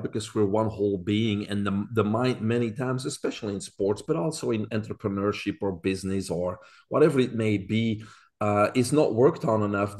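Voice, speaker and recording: A man's voice: on the low side, a little mellow. A man in his forties from Belgium with a Belgian accent, a podcast guest explaining things earnestly with frequent fillers. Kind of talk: casual interview talk.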